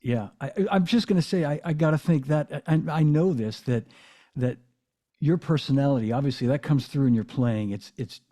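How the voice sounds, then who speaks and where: Mellow-toned halting interviewer man. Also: medium pitch. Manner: halting, many restarts, affirming. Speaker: podcast host and drummer. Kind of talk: casual interview chat.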